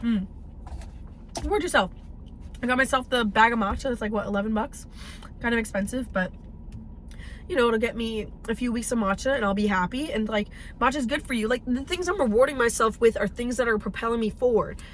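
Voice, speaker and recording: A woman's voice: on the high side, fairly quick. Chatty young woman, emphatic and blunt. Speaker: fitness vlogger. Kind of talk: casual vlog chat while driving. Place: in a car. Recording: faint car noise behind.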